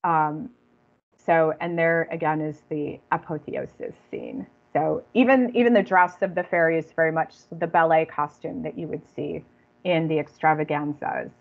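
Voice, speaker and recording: A woman giving an informal academic talk online, halting and often rephrasing as she goes. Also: low voice, gravelly. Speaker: guest lecturer and author. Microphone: computer video call. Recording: dull, lo-fi video call audio.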